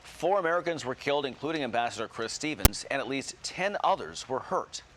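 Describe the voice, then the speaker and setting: A man's voice: mid-range voice, animated, a little fast, background noise. A man working as a morning television news anchor, reading scripted news in broadcast style.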